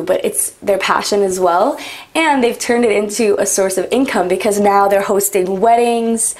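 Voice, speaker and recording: A young woman with a raspy voice, chatting casually while doing her makeup, rambling with lots of "like" and "um". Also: medium pitch. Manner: rambling, frequent "like" and "um". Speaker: beauty vlogger. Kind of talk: chatty get-ready-with-me talk.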